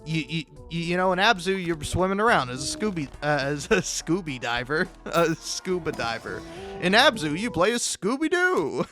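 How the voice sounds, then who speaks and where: Higher-voiced man rambling over music. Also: sing-song, bright, forceful tone. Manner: halting, repeats words and restarts often; self-deprecating, jokey, swears freely. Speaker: video game critic and YouTuber. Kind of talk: casual rambling gameplay commentary.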